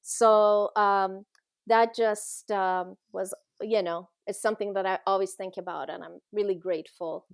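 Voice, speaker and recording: Casting: middle-aged woman from Iran, podcast guest, heartfelt conversational storyteller, medium pitch, recorded dry. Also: fairly even intonation, a little slow, clear, resonant tone, dry recording.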